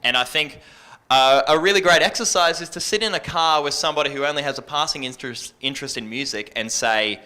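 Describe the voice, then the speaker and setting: Male radio presenter, bright and forward voice, earnest unscripted panel talk with self-corrections. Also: fairly high pitch, quick pace, smooth. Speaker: radio presenter and music programmer on an industry panel. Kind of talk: conversational panel advice.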